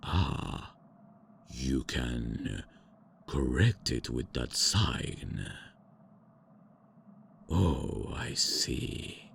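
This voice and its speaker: A man's voice: sing-song, a bit bright, a little hiss or hum, exaggerated voice. A man voicing an ancient, arrogant male vampire lord in an ASMR roleplay drama, speaking in a pompous, grand manner. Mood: curious. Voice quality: very deep, gravelly, slow and pompous.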